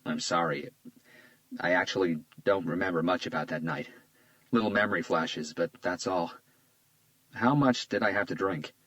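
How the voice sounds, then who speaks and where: A man's voice: sits low, moderate pace, pauses often, fairly bright tone, dry recording, poor audio. A male narrator voicing an observant, understated detective who talks in a modest, matter-of-fact way.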